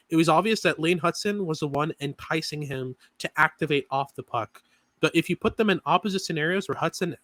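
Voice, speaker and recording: A man co-hosting a sports podcast, talking through analysis in a quick, filler-heavy way with many restarts. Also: on the high side, bright, forceful tone, dry recording. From Canada. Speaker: podcast co-host.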